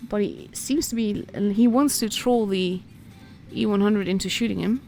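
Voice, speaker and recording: Woman, Dutch accent, mid-pitched voice, casual game stream commentator, chatty and informal, music behind. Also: moderate pace, gravelly, warm tone. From Netherlands. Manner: chatty, informal, reacting as play unfolds. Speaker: esports commentator and stream host.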